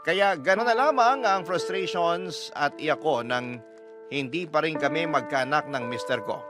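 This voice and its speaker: A man's voice: fairly high pitch, a little slow. A man narrating a scripted first-person story as a radio storytelling host. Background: music.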